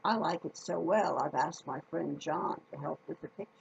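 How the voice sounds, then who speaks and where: A woman reading a children's story aloud in a folksy, deliberate manner, speaking directly to her listeners. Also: low-pitched, vocal fry, breathy edge, highs cut off.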